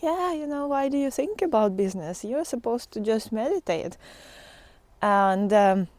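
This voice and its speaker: A woman speaks at a slow pace in a medium-pitched voice, with very little room sound. She talks like a spiritual vlogger thinking aloud, casual and unscripted. Her delivery is reflective and halting, with pauses between short phrases. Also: dark tone, no echo.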